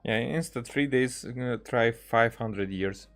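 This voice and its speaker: A man giving casual, unscripted game commentary in a halting, rambling way, circling back over his words. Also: medium pitch, fairly bright tone, faint music behind. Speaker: gaming streamer playing and commenting on a game.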